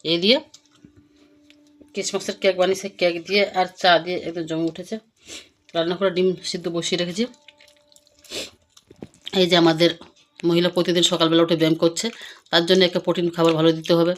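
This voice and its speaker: A woman's voice: on the low side, flat delivery, fairly quick, pauses often. A middle-aged woman chatting casually and homely for a family vlog. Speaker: family vlogger, mother. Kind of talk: casual home vlog chat. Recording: noisy home recording with clicks.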